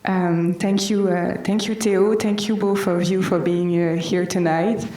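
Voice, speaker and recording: A woman with a strong French accent talks on a panel, halting often and restarting her sentences. Her voice is soft and mellow. She sounds a little distant from the mic, in an echoing room. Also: on the low side, fairly even intonation, a little hiss or hum. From France. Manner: hesitant, many fillers and restarts. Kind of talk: panel discussion. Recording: roomy hall, mic at a distance.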